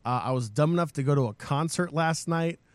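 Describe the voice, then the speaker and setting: Hoarse male podcast host, energetic and audience-rousing, slightly high voice, close and dry. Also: fairly quick, no echo. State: hoarse, losing his voice. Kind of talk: upbeat gaming podcast chat.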